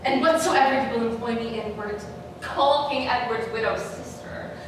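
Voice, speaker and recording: A woman playing a scheming, bitter royal villain in a theatrical Shakespearean staged reading, with dramatic, weighted pauses. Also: fairly high pitch, lightly acted. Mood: false reassurance. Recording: very echoey noisy hall, distant mic.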